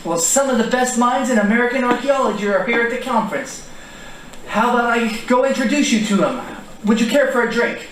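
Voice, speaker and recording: High-voiced courteous male professor played by an actor. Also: lively intonation, brisk, a bit bright. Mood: genial, polite. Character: George Angel, courteous, inquisitive male professor of languages. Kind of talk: stage radio-drama acting. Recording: echoey distant stage, faint noise.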